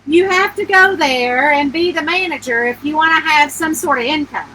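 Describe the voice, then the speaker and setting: A woman voicing a matter-of-fact male lawyer in a story reading, deliberate and unsympathetic. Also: high voice, bright, forceful tone, a little hiss or hum, poor audio. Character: Stanley, matter-of-fact male lawyer delivering bad news.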